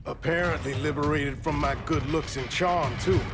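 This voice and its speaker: An actor playing a young half-machine hero who jokes in a dry, laid-back way. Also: male voice, on the high side, fairly even intonation, moderate pace, lightly acted. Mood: wry. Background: game music.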